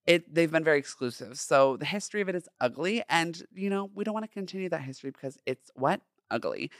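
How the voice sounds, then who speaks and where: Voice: mid-range voice, lively intonation, quick pace, dry recording, clean studio sound. A podcast host in their twenties with a feminine-sounding voice, talking casually and playfully in chatty, slangy, emphatic monologue. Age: 20s.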